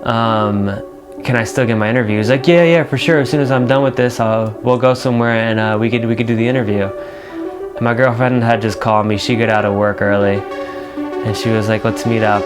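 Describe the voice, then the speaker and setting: American male, casual storyteller, conversational filmmaker narration, flatter intonation, music underneath. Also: mid-range voice, brisk. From New York. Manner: conversational, re-enacts dialogue.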